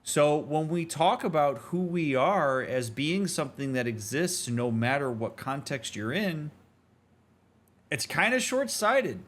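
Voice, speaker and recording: A man's voice: a little high, lively intonation, a little slow. A man streaming a game, giving reflective commentary in an earnest, emphatic, explanatory and conversational manner. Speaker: streamer, therapist host.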